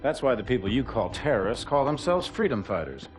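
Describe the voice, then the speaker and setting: An actor playing a ruthless, power-hungry middle-aged leader, lecturing slowly and with menace in a video game cutscene. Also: male voice, mid-range voice, big pitch swings, close to an everyday voice. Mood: menacing. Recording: speech over loud music score.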